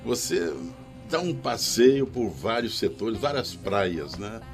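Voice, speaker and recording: A man's voice: mid-range voice, big pitch swings, takes it slowly, gravelly, soft background music. A man co-hosting a live radio talk show in a studio, enthusiastically reading aloud and listing songs. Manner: enthusiastic, reads aloud and lists song titles. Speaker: radio co-host. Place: radio studio with faint music behind.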